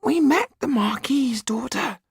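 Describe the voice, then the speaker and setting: A man voicing a haunted, secretive male magician in an audiobook, high, raspy and breathy, telling things in a slow, halting way. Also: expressive, dry recording, studio quality, heavily acted. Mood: weary, resolute. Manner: slow, dramatic, halting storytelling. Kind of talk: audiobook character acting.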